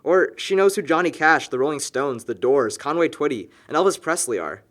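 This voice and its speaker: A man's voice: fairly high pitch, animated, fairly quick, fairly bright tone. Slangy, talkative young man played by an actor. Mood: reassuring. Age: young adult. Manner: slangy, rambling, emphatic storytelling. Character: wry, talkative young man confiding in a friend. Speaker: actor in a scripted film. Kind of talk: naturalistic buddy dialogue.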